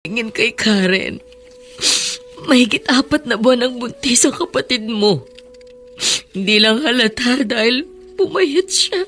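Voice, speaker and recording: An anguished, bright-voiced mother. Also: a little high, animated, unhurried, soft background music. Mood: sorrowful. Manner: emphatic, tearful. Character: anguished, protective mother of two grown children, vengeful yet devout. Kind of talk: melodramatic Filipino radio drama acting.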